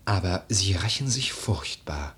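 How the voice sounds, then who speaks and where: An actor playing an earnest, melancholy nobleman in a literary radio drama, speaking in a soft, husky voice with grave, measured phrasing. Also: low voice, expressive, heavily acted. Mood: grave, urgent. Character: earnest, melancholy nobleman, the widow's admonishing cousin.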